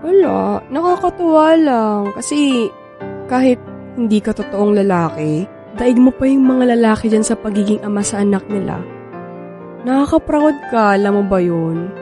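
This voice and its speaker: A woman's voice: on the high side, expressive, moderate pace, music in the background, lightly acted. A woman playing a grateful, affectionate young new mother in a sentimental, earnest radio drama. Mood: tender, grateful.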